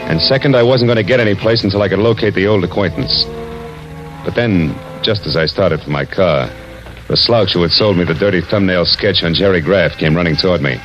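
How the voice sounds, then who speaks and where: A man's voice: sits low, a little fast, fairly bright tone. A man playing a tough, wisecracking American private detective in a hardboiled 1940s radio drama, clipped and sardonic. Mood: wry, grim. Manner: clipped, hard-boiled, sardonic. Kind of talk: 1940s radio acting, hardboiled first-person narration. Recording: dull vintage radio recording with music.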